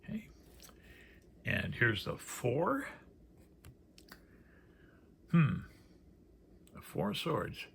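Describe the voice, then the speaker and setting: A man's voice: medium pitch, huge pitch swings, extremely slow, creaky, pauses often, fairly bright tone. A man giving casual, unscripted commentary as he presents a card deck, musing aloud and trailing off into murmured asides. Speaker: presenter reviewing a tarot deck. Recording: faint background noise.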